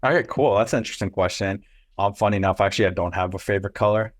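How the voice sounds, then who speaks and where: Young male guest, low-pitched, loose and rambling with lots of fillers. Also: racing. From Israel. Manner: loose and rambling, many ums and restarts. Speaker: agency co-founder and CEO. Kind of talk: casual interview answers.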